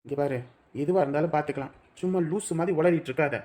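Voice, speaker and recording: Guy doing a pushy young lover, talks fast, bossy but reassuring. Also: a little high, lightly acted. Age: young adult. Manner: forceful, reassuring, scolding. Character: brash young man, protective lover.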